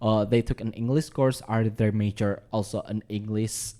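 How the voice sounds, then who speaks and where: A man with an Indonesian accent hosting a radio interview, chatty and quick to affirm with "okay", sometimes slipping into Indonesian. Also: on the low side, fairly even intonation, dark tone. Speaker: radio host.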